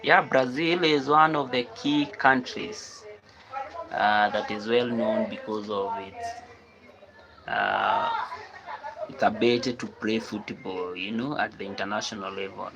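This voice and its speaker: Kenyan man chatting live, gravelly and low. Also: unhurried, noisy background. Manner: repeats phrases, reads out and answers viewer comments. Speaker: live stream host. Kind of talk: casual live chat with viewers.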